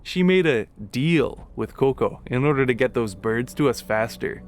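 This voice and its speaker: A man's voice: medium pitch, expressive, normal speed, natural voice. Man voicing a cheeky, wry soldier.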